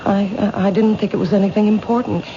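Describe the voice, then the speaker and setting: A woman acting in a radio mystery as a calm but fearful bookshop owner, speaking hesitantly and with composure. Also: mid-range voice, fast, close to an everyday voice. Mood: unsettled. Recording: muffled, noisy old radio recording.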